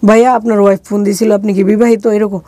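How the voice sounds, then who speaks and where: A middle-aged Bangladeshi woman recounts her own life as an interview guest. Her voice is dark and mellow, at an ordinary pitch, recorded very dry. She talks colloquially and slips into quoted dialogue as she goes. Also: level tone, fast talker, no echo. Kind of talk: conversational personal storytelling.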